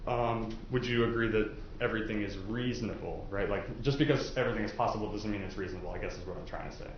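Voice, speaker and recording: A man's voice: lowish voice, fast. A man speaking as a prosecutor questioning prospective jurors in a chatty, informal way, using everyday examples. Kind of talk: informal courtroom jury questioning. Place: courtroom. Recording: echoey, muffled, noisy courtroom recording.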